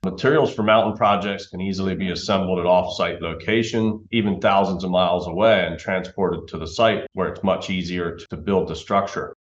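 A man's voice: low-pitched, steady tone, normal speed, few pauses. A middle-aged man explaining things as an interview guest, over a dull, roomy remote connection. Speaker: interview guest, timber framing company manager.

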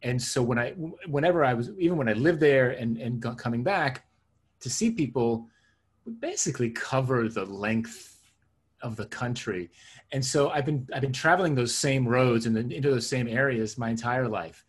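Male interview guest, middle-aged, native Hebrew speaker raised in New Jersey. Husky edge, mid-pitched, reflective, repeats phrases for emphasis. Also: normal speed. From born in Israel, raised in suburban New Jersey. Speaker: interview guest, tech consultant and coach. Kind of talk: conversational interview answers.

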